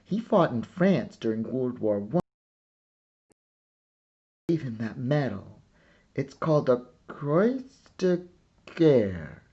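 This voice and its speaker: A man's voice: medium pitch, big pitch swings, takes it slowly, long pauses, dark tone, husky, dull audio, voice pushed up, heavily acted. A man reading a storybook as a proud, eager little girl who earnestly and carefully plays museum guide. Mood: proudly explaining.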